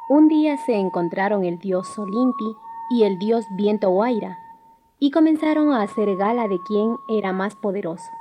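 A woman's voice: medium pitch, animated, normal speed, music in the background. A woman giving a scripted storytelling narration of a traditional legend as the narrator of a radio drama. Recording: faint background noise.

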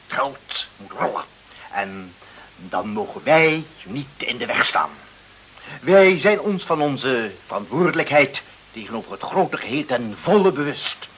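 A man's voice: mid-range voice, big pitch swings, unhurried, a bit bright. A man acting in a radio play as a pompous, peevish, muddled director of a government office, with a blustering bureaucratic manner. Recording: dull, hissy old radio play recording.

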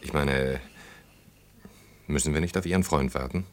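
A courteous bachelor of thirty-two in a radio play. His voice is low, and he talks in a hesitant, conversational way with dry, gentle irony, eager to be a good host. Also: normal speed. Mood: teasing, courteous. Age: 30s. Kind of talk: naturalistic German radio play dialogue.

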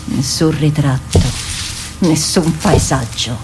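Resigned warrior woman, lowish voice, measured and grim, radio play with music under. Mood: bleak, wry. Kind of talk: Italian radio drama acting.

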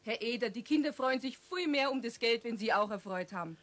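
A woman's voice: fairly high pitch, animated, fairly quick, fairly bright tone. An actress playing a friendly, insistent mother with a Bavarian accent in a children's radio play.

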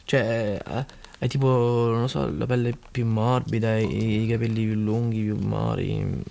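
A man's voice: lowish voice, flat delivery, unhurried, gravelly, a little mellow. An actor playing an anxious, stressed young man with a Roman accent, whiny and stammering as he begs a friend for advice. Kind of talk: scripted comedy sketch.

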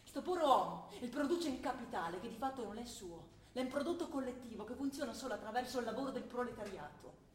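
A woman's voice: fairly high pitch, lively intonation, lightly acted. A woman playing a fervent young revolutionary. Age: young adult. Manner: earnest, quick, rising to fervent argument. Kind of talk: stage play acting. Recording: roomy stage recording with faint noise.

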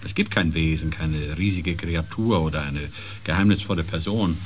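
A man's voice: extremely low pitch, moderate pace, slight background noise. A man playing a firm, sceptical expedition scientist in a radio drama, speaking bluntly and precisely.

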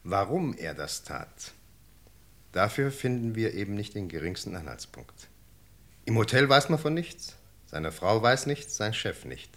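Earnest sergeant, 1960s German radio drama, lowish and very animated, formal, insistent delivery. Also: male voice, heavily acted. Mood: urgent pleading. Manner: insistent, deliberate, formally polite. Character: earnest male British police sergeant questioning a witness.

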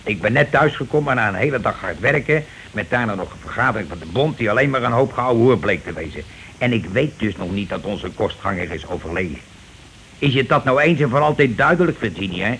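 An actor playing a coarse, cocksure scheming husband in a radio play, talking insistently and impatiently in colloquial speech. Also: male voice, on the low side, animated, fairly quick, a bit bright. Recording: noisy old radio drama recording.

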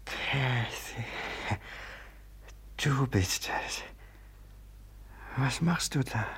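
An actor playing a deranged, vengeful young killer in a 1950s radio play, halting and menacing with a childlike streak. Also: male voice, mid-range voice, fairly bright tone, breathy edge, whispered, heavily acted. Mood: bewildered, childlike. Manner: halting, repeats himself, gloating. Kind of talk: 1950s German-language radio play acting.

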